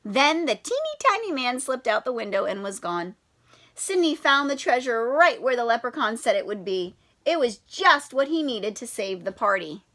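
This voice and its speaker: A woman's voice: fairly high pitch, sing-song, moderate pace, a bit bright. A woman who teaches primary school, reading aloud and talking directly to children with prompting questions.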